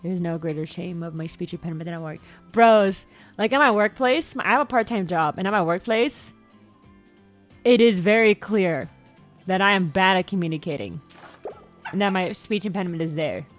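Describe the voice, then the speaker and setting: A young woman chatting casually on a livestream. She rambles, repeats and stumbles over words, and her voice sometimes jumps suddenly into high squeaks. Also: moderate pace. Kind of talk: casual stream chat. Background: faint background music.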